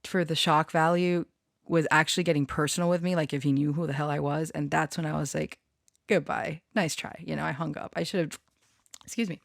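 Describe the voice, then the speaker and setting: A woman's voice: low voice, steady tone, brisk, gravelly, no echo, studio quality. A woman rambling casually to her online followers, giggly and prone to swearing. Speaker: online storyteller and channeler.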